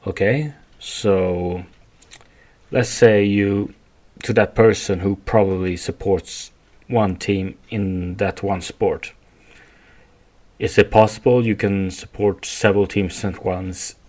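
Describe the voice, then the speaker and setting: A Swedish man hosts a solo podcast in accented English. His voice sits on the low side. He speaks very slowly, feeling his way through an argument with repeats and rephrasing. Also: fairly even intonation, a little mellow. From Sweden. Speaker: podcast host. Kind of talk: rambling solo podcast monologue.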